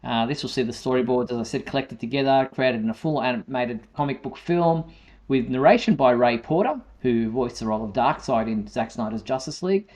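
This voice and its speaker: A man hosting a fan news show in a conversational, somewhat halting style. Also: mid-range voice, darkish tone. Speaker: show host. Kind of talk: semi-scripted fan news presenting.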